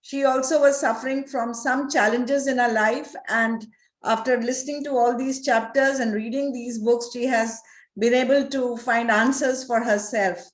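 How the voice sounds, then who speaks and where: A woman's voice: a little high, fairly even intonation, normal speed. An Indian woman moderating and interpreting in an online question session, recorded in an echoing room.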